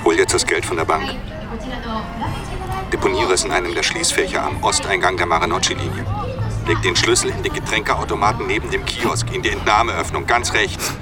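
A man's voice: low voice, steady tone, a little fast, lightly acted. A man playing a thief who blackmails someone in a controlled, mocking, commanding way in a radio drama. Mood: cold, commanding. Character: cool, taunting male thief blackmailing by phone. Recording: street bustle, traffic and music behind.

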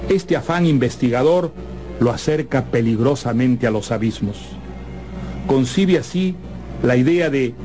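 A man presenting a radio literary series, reading a scripted introduction in deliberate phrases. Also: medium pitch. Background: music.

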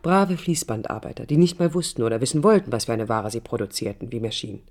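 A woman's voice: very deep voice, lively intonation, quick pace, a little mellow, full character voice. An actress playing a young, idealistic woman architect who recalls her life in an intimate, literary radio play monologue, reflective and critical. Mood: scornful, intense. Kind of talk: 1980s radio play inner-monologue narration.

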